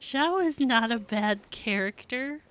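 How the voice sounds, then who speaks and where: A woman's voice: fairly high pitch, expressive, takes it slowly, fairly bright tone, soft background music. A woman livestreamer chatting casually with viewers over a game, in a playful, teasing, giggly way. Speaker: livestreamer, VTuber. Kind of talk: casual gaming stream chat with viewers.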